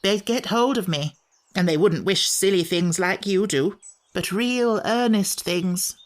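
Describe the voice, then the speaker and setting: Grumpy tiny furry wish creature for a storybook, high squeaky whiny voice, always put upon. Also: male voice, unhurried. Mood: agitated. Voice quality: shrill, cracking into a squeak when worked up. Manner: indignant, complaining.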